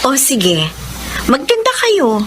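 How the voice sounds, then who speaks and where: A cheerful, caring young woman in a classic radio play, chatty and warm-hearted, in a high, lively voice that rises and falls. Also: slow, background noise, full character voice. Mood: touched. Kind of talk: classic radio drama acting.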